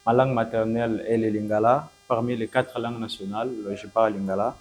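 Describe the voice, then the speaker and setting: A man's voice: lowish voice, fairly even intonation, a little fast, darkish tone, rough recording. A man from western DR Congo with a Congolese French accent giving short interview answers. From Mai-Ndombe, DR Congo.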